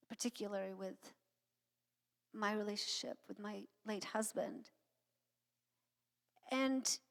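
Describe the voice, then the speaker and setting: A middle-aged woman from Croatia with a Croatian accent, giving an informal inspirational talk with halting, anecdotal storytelling. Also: fairly high pitch, a bit bright. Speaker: guest speaker and singer. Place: church sanctuary, speaking to an audience. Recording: long hall reverb.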